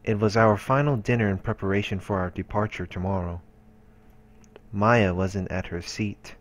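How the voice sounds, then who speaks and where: Deep-voiced man reading a story. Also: steady tone, moderate pace. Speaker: let's play narrator reading a visual novel aloud. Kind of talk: reading game narration aloud.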